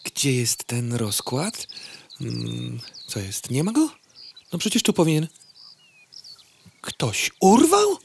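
Blunt, drawling city housefly. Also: female voice, extremely low pitch, very animated, takes it slowly, gravelly, long pauses, a little mellow, a little hiss or hum, full character voice. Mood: sarcastic, annoyed. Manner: hesitant politeness slipping into sardonic grumbling. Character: hungry city housefly, female, blunt and sarcastic. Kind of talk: audio comedy drama acting.

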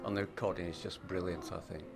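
A man, a crime writer, giving measured answers in an interview, with a few repeated words. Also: sits low, steady tone, creaky.

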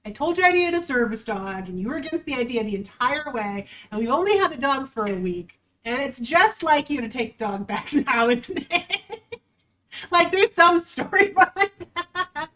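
A woman's voice: high-pitched, very animated, normal speed, fairly bright tone, recorded from a distance. A young woman, a dog trainer, chatting casually and wryly as she reads a news story aloud and comments on it. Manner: chatty, wry, reads article aloud with asides.

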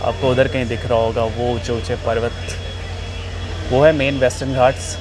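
A man's voice: mid-range voice, little pitch movement, moderate pace. A young man chatting enthusiastically to his viewers as a railfan vlogger, filming from the open door of a moving train on a phone. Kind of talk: casual travel vlog commentary. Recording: noisy train ride recording.

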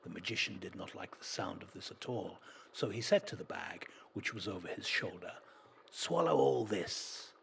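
A man reading a folk tale as a storyteller, in a dramatic, deliberate manner. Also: deep voice, fairly even intonation, creaky, a bit bright, slight background noise.

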